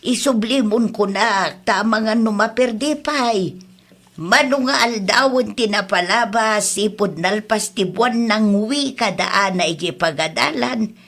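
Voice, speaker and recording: A woman's voice: medium pitch, unhurried, a bit bright. A woman with an Ilocano accent playing a nagging, aggrieved costume renter in a comedy audio drama. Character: aggrieved costume-rental woman, exasperated daughter, insistent.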